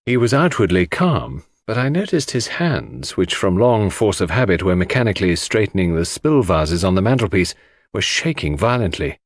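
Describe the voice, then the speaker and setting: A man's voice: low-pitched, big pitch swings, moderate pace, no room sound, clean studio sound. A man reading scripted audiobook narration as the narrator of a story.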